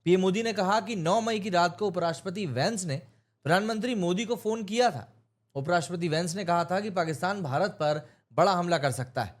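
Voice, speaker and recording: High-pitched Indian man explaining news. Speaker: news commentator. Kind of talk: news explainer with opinionated commentary.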